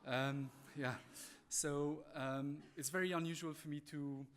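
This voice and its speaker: A man with a French accent giving a conference presentation in a halting, polite, apologetic way. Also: fairly high pitch, fairly even intonation, slight background noise. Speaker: conference speaker, animal welfare manager.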